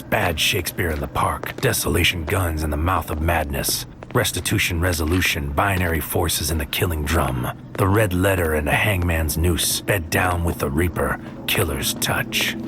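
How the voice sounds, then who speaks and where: Man acting a very deep, brooding noir soldier. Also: normal speed, hardly any pauses, noisy background. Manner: brooding, poetic, clipped phrases. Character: Maru, brooding, bitter psychic soldier narrating his own story. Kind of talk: noir spoken-word scripted narration.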